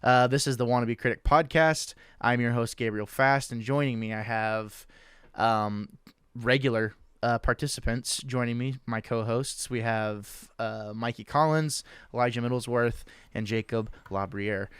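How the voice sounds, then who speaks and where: Casting: young man, podcast host, smooth and clear voice, dry close sound, loose and jokey. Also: medium pitch, steady tone, measured pace, a bit bright, dry recording. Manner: loose and joking, with many restarts. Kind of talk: casual podcast chat.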